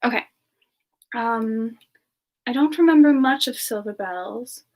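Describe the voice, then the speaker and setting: Woman as a high-voiced, gentle, playful demon girl. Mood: playful, relaxed. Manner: giggly, soft-spoken, unhurried. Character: Frostbyte, a playful, gentle female demon character. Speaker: streamer answering chat and taking song requests. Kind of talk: semi-ASMR live Q&A, soft casual chat.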